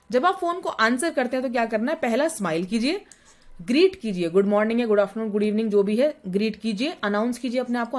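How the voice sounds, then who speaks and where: A woman's voice: on the high side, darkish tone. A woman teacher giving an exam revision lesson, explaining each point in plain words and repeating English terms.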